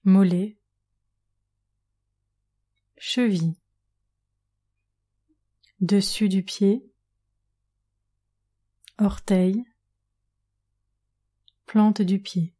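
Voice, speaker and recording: A woman yoga nidra instructor guiding a relaxation meditation in a calm, measured manner with long pauses. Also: mid-range voice, husky. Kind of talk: guided relaxation meditation narration.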